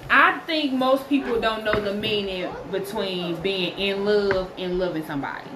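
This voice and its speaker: A woman's voice: medium pitch, moderate pace, echoey room, background noise. A woman in her late twenties, talking hesitantly and thoughtfully in a casual chat about love. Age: late 20s. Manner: hesitant, thoughtful, filler-heavy.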